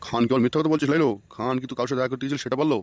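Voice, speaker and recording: A man's voice: medium pitch, fairly even intonation, very fast, no echo, studio quality, close to an everyday voice. A man voicing an angry, suspicious, foul-mouthed old village husband in a comic cartoon, cursing and scolding. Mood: accusing, furious. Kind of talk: comic Bengali cartoon voice acting.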